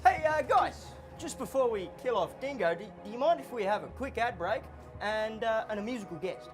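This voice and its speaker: Male actor as indignant C-list show host, comedy, lively swooping delivery. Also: high-pitched, brisk, over music. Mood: presenting. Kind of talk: scripted audio comedy acting.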